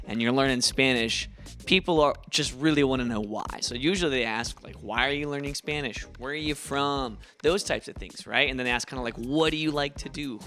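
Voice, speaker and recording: A man's voice: medium pitch, a bit bright. A young man from Ohio with an Ohio accent, teaching a casual, encouraging video lesson in a chatty, upbeat way. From Ohio, USA. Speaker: language teacher, channel host.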